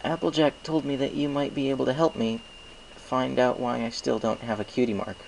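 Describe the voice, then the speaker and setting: A man's voice: mid-range voice, fairly even intonation, a little fast, creaky, darkish tone, a little hiss or hum, pitched above the performer's own voice, lightly acted, poor audio. A man voicing a shy, self-doubting winged stallion in a storybook reading, hesitant and meek. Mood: careful, ashamed. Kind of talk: acted audiobook character dialogue.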